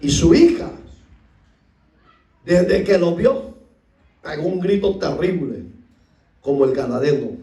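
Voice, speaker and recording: Salvadoran pastor preaching, high voice swinging all over, sounds far off the mic in an echoey church. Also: male voice, takes it slowly, pauses often. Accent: Salvadoran Spanish. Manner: animated storytelling preacher, acting out voices and shouting at peaks. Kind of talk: sermon. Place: church hall.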